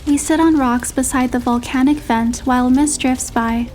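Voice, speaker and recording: A woman narrating a romantic first-person story in a measured, expressive storytelling manner. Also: high voice, a little hiss or hum. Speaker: narrator and heroine voice.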